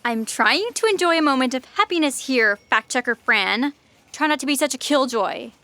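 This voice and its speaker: A woman's voice: high-pitched, lively intonation, moderate pace, pushed and bright, dry recording, exaggerated voice. A woman playing a gleeful, impatient talking bomb in a comic sci-fi audio drama, brash, flippant and sarcastic. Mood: breezy, mocking.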